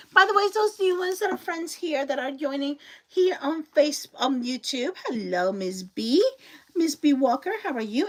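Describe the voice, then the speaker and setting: A woman from Colombia with a Colombian Spanish accent, hosting a live crafting stream and chatting with viewers as they join. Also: high voice. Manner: chatty, greets viewers by name, warm thanks. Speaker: crafting livestream host.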